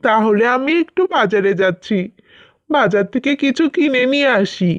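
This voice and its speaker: A woman's voice: fairly high pitch, expressive, moderate pace, no room sound, dull audio, lightly acted. A woman voicing a kindly, cheerful young village husband in a cartoon, affectionate in manner. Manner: affectionate, good-humoured. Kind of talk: scripted cartoon dubbing.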